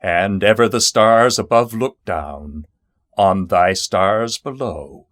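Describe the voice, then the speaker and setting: Slow, low-voiced man reciting poetry. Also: a bit bright, dry recording. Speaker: poetry reader.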